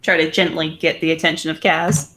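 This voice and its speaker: A woman's voice: deep voice, flat delivery, a little fast, noisy background. A woman playing a tabletop roleplaying game, chatting casually and improvising as she goes.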